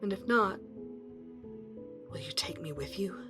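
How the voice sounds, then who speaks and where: Actress as searching wife with questions, mid-pitched female voice, radio play with music. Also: animated, measured pace. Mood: wistful, pleading. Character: questioning wife searching for her missing husband. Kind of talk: audio drama acting.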